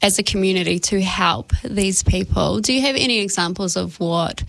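A woman's voice: mid-range voice, normal speed, creaky, fairly bright tone, dry recording. A woman interviewing in a casual, encouraging and responsive conversational way.

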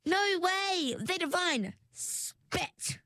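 A woman voicing a bold young female mermaid heroine with a sharp, commanding way of talking. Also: high-pitched, expressive, moderate pace, no room sound, pitched above the performer's own voice. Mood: urgent.

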